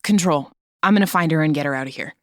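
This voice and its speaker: A woman's voice: sits low, fast talker, no echo, clean studio sound, close to an everyday voice. A woman playing a blunt, sweary, determined government invigilator in an urgent crisis, in an audio drama. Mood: blunt, exasperated. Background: music.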